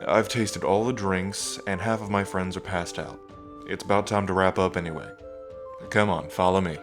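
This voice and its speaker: A man playing a cocky yet tender young dragon king in his twenties, speaking casually and hesitantly to the listener in an intimate ASMR roleplay. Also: low-pitched. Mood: tipsy, playful. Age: 20s. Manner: casual, hesitant, trailing phrasing.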